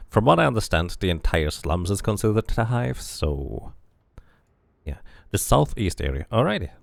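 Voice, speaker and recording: A man's voice: fairly low pitch, big pitch swings, moderate pace, vocal fry, no room sound. A man doing casual playthrough commentary, musing to himself and reading game text aloud. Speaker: video game streamer.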